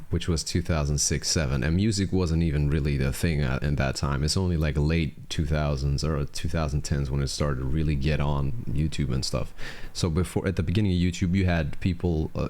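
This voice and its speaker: A man in his thirties talks in a low, deep voice. He speaks casually and rambles a little, with frequent fillers and restarts as he reacts to a song. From Belgium. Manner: relaxed and chatty, with fillers and restarts. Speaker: reaction video host.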